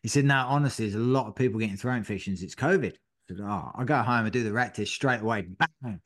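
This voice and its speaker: A man's voice: lowish voice, fast talker. A middle-aged man co-hosting a podcast, telling a long story in casual chat and swearing freely. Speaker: podcast co-host.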